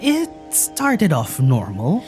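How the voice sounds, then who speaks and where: A woman playing a weary, wry young traveller, recounting things in a halting, husky voice in an audio drama. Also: deep voice, wildly swooping pitch, music in the background, full character voice. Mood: subdued recounting.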